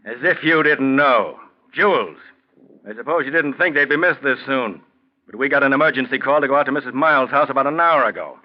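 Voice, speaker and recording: An actor playing a stern, sardonic American police detective in a 1940s radio crime drama, clipped and accusing. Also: male voice, medium pitch, brisk, natural voice. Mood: sardonic, grim. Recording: dull, lo-fi 1940s radio recording.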